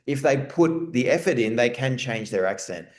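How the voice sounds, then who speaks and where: An Australian man answering webinar questions in a relaxed, rambling way, restarting his sentences often. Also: mid-range voice, normal speed. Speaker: guest speaker. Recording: roomy echo.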